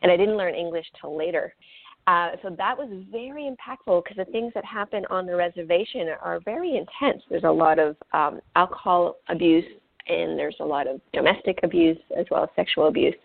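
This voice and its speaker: A woman's voice: fairly low pitch, fairly quick, gravelly, no echo. A French-Canadian woman, French her first language, telling her life story candidly as a radio guest. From French-speaking Canada. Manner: earnest and candid, with fillers. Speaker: guest speaker and author. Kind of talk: personal life story in an interview. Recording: tinny phone-line call, dry.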